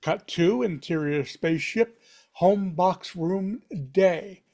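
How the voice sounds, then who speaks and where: A man's voice: sits high, slow, creaky, a little mellow. A man reading screenplay stage directions aloud at a table read, in punchy, dramatic fragments.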